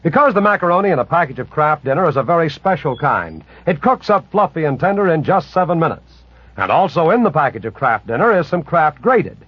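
A man's voice: on the high side, very animated, fairly quick, breathy edge, no room sound. A man reading a commercial as a 1940s radio announcer, on a muffled vintage recording.

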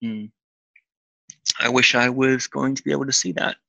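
An actor playing a weary, troubled man who talks haltingly in naturalistic audio drama dialogue. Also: mid-range voice, fairly even intonation, vocal fry, pushed and bright, no room sound, highs cut off, exaggerated voice. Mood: weary, hesitant. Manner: hesitant, halting. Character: weary, troubled man seeking comfort from a friend.

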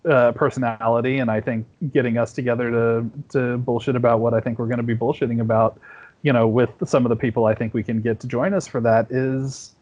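A man's voice: mid-range voice, level tone, a little fast, darkish tone, muffled recording. A man, around his forties, talks as a podcast guest in a rambling, easygoing way, full of restarts and fillers. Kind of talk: casual conversation. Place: home at night.

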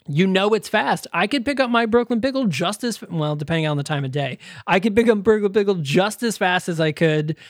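A man's voice: on the high side, no echo, studio quality. A man co-hosting a podcast in casual chat, self-deprecating and freely profane. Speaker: podcast co-host.

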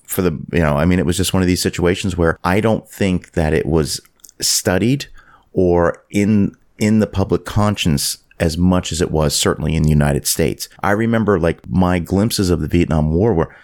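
A man's voice: deep voice, normal speed, a little mellow. A man from Canada co-hosting a film podcast, thinking aloud and restarting his sentences in casual discussion. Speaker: podcast co-host.

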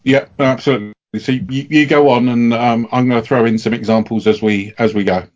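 A man's voice: medium pitch, fairly even intonation, fairly quick, a little mellow, muffled recording. Chatty middle-aged man. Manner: chatty, self-deprecating, many fillers. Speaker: podcast co-host. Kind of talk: casual chat.

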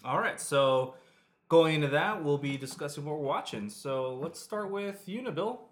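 A young man co-hosting a casual podcast, sick with a cold, cheerful and quick with short friendly interjections. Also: a little high, lively intonation, faint music behind. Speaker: podcast co-host. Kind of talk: casual chat.

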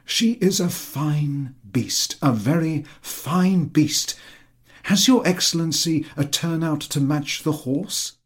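Man playing a high-voiced, fawning, boastful landowner. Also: slow pace, husky. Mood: eager, fawning. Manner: obsequious, effusive, over-polite. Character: eager, boastful, fawning landowner flattering a superior.

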